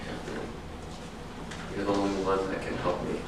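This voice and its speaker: A man's voice: low-pitched, monotone, moderate pace, natural voice. An actor playing a volatile, possessive man in a staged play, accusing one moment and begging the next, recorded from a distance in an echoey room. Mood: pleading. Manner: accusing, pleading, swinging from bullying to begging. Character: volatile, possessive alcoholic man, a desperate failing writer. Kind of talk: staged play reading, dramatic dialogue. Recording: echoey room, distant microphone, faint noise.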